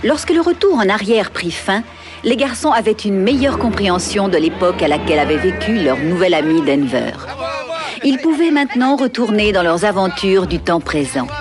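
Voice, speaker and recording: A woman's voice: mid-range voice, animated, normal speed, natural voice. A woman narrating a cartoon like a storybook, in a scripted dramatic manner, with music behind her.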